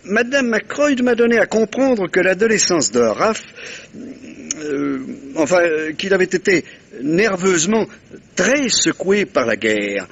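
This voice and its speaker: An actor playing a shrewd, self-satisfied, courteous middle-aged detective in a vintage French radio mystery, with theatrical, pointed pauses. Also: male voice, sits high, lively intonation, fairly bright tone, highs cut off, heavily acted. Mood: probing, courteous.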